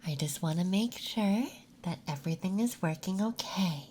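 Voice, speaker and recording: A soft-spoken ASMR performer playing a gentle, calming nurse, speaking in a soothing, unhurried way during a roleplay intake. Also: medium pitch, slight background noise.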